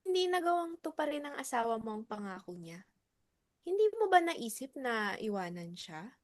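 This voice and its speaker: A woman acting a quiet, probing club member in a dramatic reading, asking softly. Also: on the high side, very animated, moderate pace, no echo, studio quality. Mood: probing, hushed.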